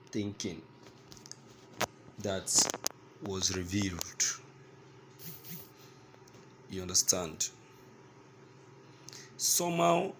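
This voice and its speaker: A man with a Nigerian accent giving news commentary to his listeners, speaking in a halting, emphatic way and repeating phrases. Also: on the low side, a little hiss or hum.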